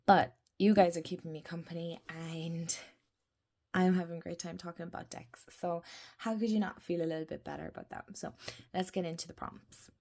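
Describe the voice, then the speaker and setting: A woman chatting casually to camera about her tarot decks, croaky from a head cold, rambling with laughs and soft murmured asides. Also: fairly low pitch, steady tone, fairly quick. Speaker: tarot deck vlogger. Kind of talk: casual vlog chat to camera. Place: indoors at a desk.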